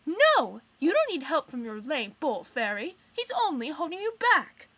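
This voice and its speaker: A woman's voice: high-pitched, huge pitch swings, normal speed, fairly bright tone, full character voice. A woman voicing a bossy, impatient young troll girl with a cruel streak, theatrical and sarcastic, in an amateur fandub. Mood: exasperated, angry. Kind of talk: amateur fandub audition acting.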